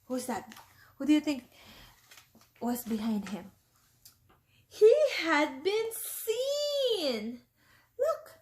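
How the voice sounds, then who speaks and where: A woman with a fairly high voice reads a storybook aloud to a class of young children, taking her time over every line. She is a teacher who often stops to explain a word or ask the children what they see. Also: big pitch swings, drawn-out words, pauses often. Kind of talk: reading a picture book aloud to children.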